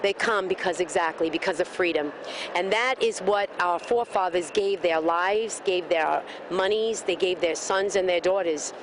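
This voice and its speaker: A woman with a somewhat low voice speaks with fervor, building her answer like a speech and asking rhetorical questions. She is outdoors at a street rally, with faint street noise under her words. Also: moderate pace. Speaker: rally protester being interviewed. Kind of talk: impassioned street interview.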